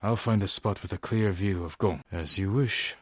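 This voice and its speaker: An actor playing a stern, honorable samurai warrior in a video game, with a husky voice and a measured, terse, commanding manner. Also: male voice, fairly low pitch, no room sound, clean studio sound. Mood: stern, resolute. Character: stern honorable adult male samurai warrior, dutiful and weary. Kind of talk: video game character voice lines.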